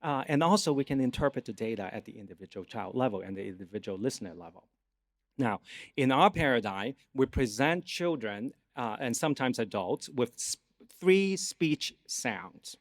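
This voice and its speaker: A man's voice: medium pitch, lively intonation, normal speed. A man with a Cantonese accent, a native Cantonese speaker, giving an academic lecture and explaining things as he checks in with his audience. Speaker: guest lecturer, neuroscience professor. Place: conference lecture hall.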